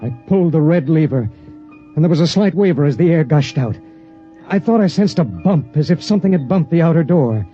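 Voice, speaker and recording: An actor playing a lonely spaceship pilot who narrates in a grave, measured way in a 1950s radio drama. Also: male voice, a little high, lively intonation, warm tone, soft background music, close to an everyday voice. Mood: grim. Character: lonely male spaceship pilot, grim and weary. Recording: dull lo-fi vintage radio.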